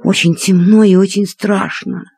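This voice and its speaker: A woman narrating a children's story expressively, in a deliberate, dramatic storytelling manner. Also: mid-range voice, steady tone, gravelly, darkish tone. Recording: cassette transfer with music behind.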